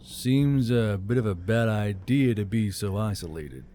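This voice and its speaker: A man's voice: fairly low pitch, unhurried, pitched below the performer's own voice, close to an everyday voice. Wry male adventurer as a narrator plays him. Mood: curious. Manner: wry, casual, questioning. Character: wry, modest male human adventurer, curious and chiding. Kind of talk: audiobook character dialogue. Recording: soft music bed behind.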